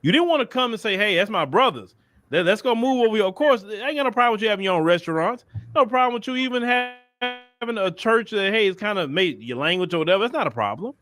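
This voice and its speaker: High-pitched man with a Texas Southern accent. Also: expressive, fast, a bit bright, soft background music. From Port Arthur, Texas. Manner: emphatic, repeats phrases, colloquial. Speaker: commentator. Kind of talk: animated opinion monologue, acting out mock dialogue.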